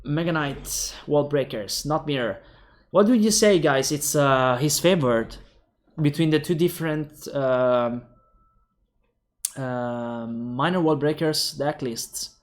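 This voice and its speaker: A young man with an Italian accent casting a mobile game tournament alone, calling plays quickly and thinking aloud to viewers. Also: on the high side. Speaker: esports caster. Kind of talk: solo esports play-by-play commentary.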